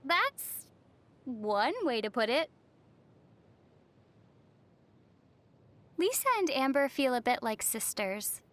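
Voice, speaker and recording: High-voiced, wry young adventurer heroine. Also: female voice, lively intonation, a little slow, a bit bright. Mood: wry, explaining. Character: young female traveler heroine, wry, gently teasing. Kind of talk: video game voice acting.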